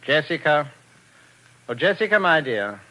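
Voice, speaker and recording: An actor playing a suave, domineering husband in a 1940s radio drama, smooth and patronizing with false tenderness. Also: male voice, a little high, big pitch swings, measured pace, sharp tone, slight background noise, full character voice, poor audio. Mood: coaxing. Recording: old 1940s radio recording, music behind.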